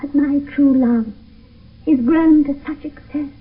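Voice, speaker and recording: A woman's voice: high voice, steady tone, unhurried. A woman playing an eager, lovestruck teenage girl in a Shakespeare radio play, urgent and lyrical. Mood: ardent. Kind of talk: 1970s radio Shakespeare acting. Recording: muffled phone-like vintage radio broadcast, distant.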